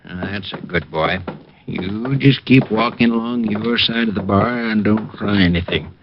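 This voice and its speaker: An actor playing an older American bar owner and crook, gruff, sly and threatening, in a vintage radio crime drama. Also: male voice, fairly low pitch, moderate pace, close to an everyday voice. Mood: menacing. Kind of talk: old-time radio crime acting. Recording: dull, noisy old radio recording.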